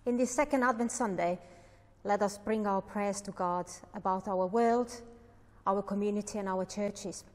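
A middle-aged woman with an Estonian accent leads prayers in a measured, earnest way, reading aloud. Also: mid-range voice. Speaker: church member leading prayers. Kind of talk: prayers of intercession, read aloud. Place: indoor church room, at a lectern. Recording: echoey hall, long reverb.

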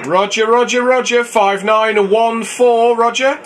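High-pitched man calling over radio noise. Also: steady tone, measured pace. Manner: repetitive, procedural, spelling in phonetic alphabet. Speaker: amateur radio contest operator. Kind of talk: clipped radio callsign exchanges. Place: small indoor radio room. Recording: noisy radio shack, clear static hiss.

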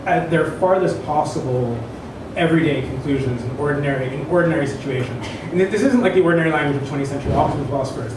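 A man with a slightly high voice gives an informal introduction to a reading. He halts, digresses and hunts for his words. The sound is distant and strongly reverberant, with noticeable noise behind him. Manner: halting, searching for words, digressive. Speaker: host introducing a literary reading. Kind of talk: informal spoken introduction before an audience. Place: indoor event room, at a standing microphone. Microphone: stand microphone, picked up from a distance. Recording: echoey room, distant mic, clear background noise.

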